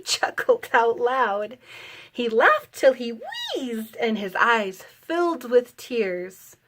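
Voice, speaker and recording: A woman reads a children's picture book with theatrical expression. Her voice sits fairly high and swings widely in pitch. She takes the rhymes slowly and deliberately, giving each phrase its space. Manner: dramatic, deliberate storytelling. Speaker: parent reading a picture book aloud. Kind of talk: expressive rhyming storybook reading for children.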